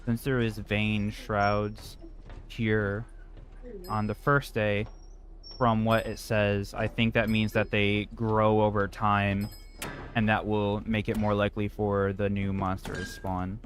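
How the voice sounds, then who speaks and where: A man hosting a game stream, chatting casually in a slow, deliberate way with dry remarks. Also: lowish voice, little pitch movement. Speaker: streamer and host. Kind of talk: casual chat while playing a video game.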